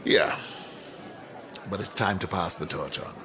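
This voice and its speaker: An actor playing a reflective older academic mentor in a radio drama, eloquent, measured and wry. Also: male voice, sits low, noisy background. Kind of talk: naturalistic radio drama dialogue.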